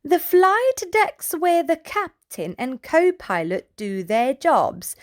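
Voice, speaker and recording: A woman's voice: high voice, sing-song, slow pace. A woman reading a picture book aloud to young children, in a playful manner as the host. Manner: addresses small children, playful sound effects.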